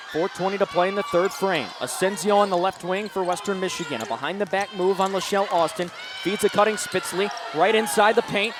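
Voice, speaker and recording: A man doing live radio play-by-play of a basketball game from an arena, projecting his calls and getting animated on big plays. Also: high voice. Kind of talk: live sports commentary. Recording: noisy live arena broadcast, bouncing balls.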